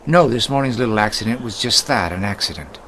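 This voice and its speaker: Ruthless ship's mate villain, radio drama, swingy animated voice, bit of noise on it. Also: male voice, lowish voice, fast. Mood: cold, smug. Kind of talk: period radio mystery drama acting.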